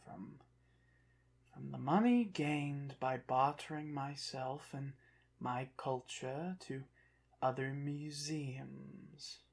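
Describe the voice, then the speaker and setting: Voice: medium pitch, gravelly, husky, heavily acted. An actor voicing a proud, touchy ancient Egyptian revived from the dead, speaking formally and guardedly in an ASMR roleplay. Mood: defensive, sulky. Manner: formal, haughty, guarded, halting phrases. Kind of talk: ASMR roleplay, one side of a dialogue.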